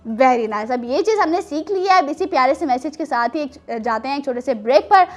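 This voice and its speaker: A woman's voice: high voice, expressive, moderate pace, soft background music. A woman hosting a children's show, telling stories and chatting with a teacherly, teasing manner and plenty of questions. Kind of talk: storytelling and playful chat with children.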